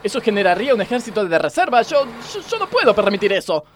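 A man plays a pompous communist factory boss in an Argentine radio comedy. His voice is high and swoops with indignation as he declaims his principles. There is faint noise behind him. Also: big pitch swings, quick pace. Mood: indignant. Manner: declamatory, doctrinaire, flustered. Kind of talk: comedic radio play acting.

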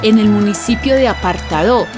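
A woman playing a neighbour who narrates a radio drama in an unhurried, confiding storytelling manner. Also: medium pitch, over music, close to an everyday voice.

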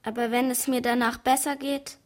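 A woman's voice: fairly high pitch, level tone, moderate pace, lightly acted. A woman playing a guarded, innocent-sounding eleven-year-old girl in a German radio play, giving terse, evasive answers.

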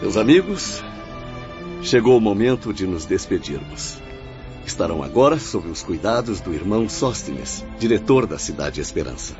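A gentle young mentor of the spirit world in a Brazilian radio play. He speaks formally in a fairly deep voice with strong inflection. The recording is a little muffled, with music. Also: sing-song, normal speed. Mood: tender farewell. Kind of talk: Brazilian radio drama acting. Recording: dull audio with background music.

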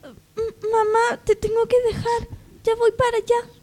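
A woman's voice: extremely high pitch, monotone, measured pace, warm tone. A woman playing a meek, wounded young maid in a parody radio soap, timid and melodramatic. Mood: distressed, pleading.

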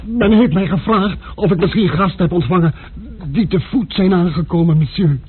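A man's voice: sits high, moderate pace, natural voice. Guy playing an anxious innkeeper tripping over his words, lo-fi old radio play with light hiss. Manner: stammering, anxious. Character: nervous male innkeeper, stammering and servile. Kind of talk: radio drama acting. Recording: tinny lo-fi radio play recording with faint hiss.

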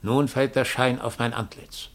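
A male actor plays an uncanny double, a self-confessed murderer bearing a sentence. He speaks at a medium pitch, solemn and implacable, with measured declamation. It is 1960s German radio drama acting. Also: flat delivery, natural voice. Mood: calm, commanding.

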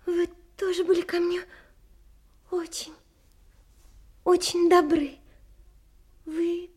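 A shy, lovelorn girl in a radio play. Her voice is extremely high and fragile, and she speaks slowly, in timid, halting phrases. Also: flat delivery, a little mellow. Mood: shy, tender. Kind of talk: audio drama acting.

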